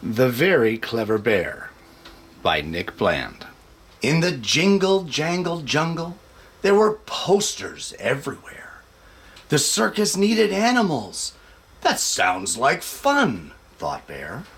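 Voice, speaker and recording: Slow-paced, lively man hosting story time. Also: medium pitch. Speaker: show host reading a children's picture book. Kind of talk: casual introduction, then expressive storybook read-aloud.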